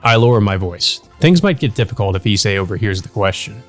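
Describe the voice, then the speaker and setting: Guy doing a visual novel lets play, reads narration + casual comments, lively pitch, music in back. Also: brisk. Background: background music.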